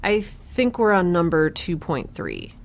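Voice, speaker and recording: An actress playing a wry, chatty scientist recording an audio diary in space, informal and rambling. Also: female voice, on the low side, wildly swooping pitch, measured pace, full character voice. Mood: exasperated.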